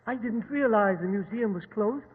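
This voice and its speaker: Foreign dignitary: very high, husky, theatrical and agitated; echoey old radio. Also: male voice, moderate pace, narrow, tinny audio. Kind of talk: 1940s radio drama acting.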